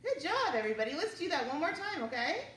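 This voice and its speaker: Animated woman leading children's story time. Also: high-pitched, quick pace. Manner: singsong, encouraging, addressing small children. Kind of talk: children's read-aloud and chat to young viewers. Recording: roomy, distant mic, faint background noise.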